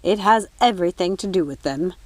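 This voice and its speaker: A woman's voice: fairly low pitch, a little fast, darkish tone, no room sound, close to an everyday voice. A woman voicing an older fairy nanny in a storybook, protective and remorseful, speaking with emphatic reproach. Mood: reproachful.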